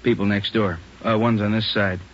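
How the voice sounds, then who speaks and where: A plain-spoken American police officer acted by a man gives matter-of-fact reports in a lowish voice. It has the sound of 1940s radio acting, muffled and clearly noisy. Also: steady tone, normal speed, natural voice. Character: plain-spoken male juvenile officer briefing detectives. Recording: dull noisy old radio recording.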